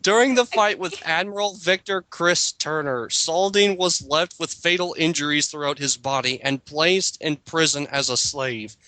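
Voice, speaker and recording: A man's voice: fairly high pitch, moderate pace, few pauses, bright, forceful tone, rough recording. A man reading a story aloud as a mock dramatic reading, breaking into casual banter and stumbling over long words. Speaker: reader in a group dramatic reading.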